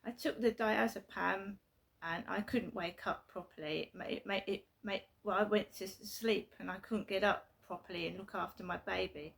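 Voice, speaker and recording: Gravelly middle-aged woman. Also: mid-range voice, fairly even intonation, normal speed, fairly bright tone, a little hiss or hum. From South London, England. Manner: repetitive, emphatic, earnest storytelling. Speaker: vlogger. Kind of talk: casual personal vlog. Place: her flat at night.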